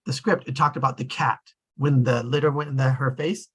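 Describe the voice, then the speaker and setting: A man's voice: medium pitch, steady tone, fairly quick, fairly bright tone, breathy edge. A man hosting a casual group discussion, talking in a loose, rambling way that often trails off. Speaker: discussion host.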